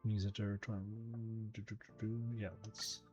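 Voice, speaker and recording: A man's voice: lowish voice, little pitch movement, normal speed, darkish tone, dull audio. A man giving casual, easygoing game commentary, thinking aloud as he plays. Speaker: host of a game playthrough channel. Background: game music behind the voice.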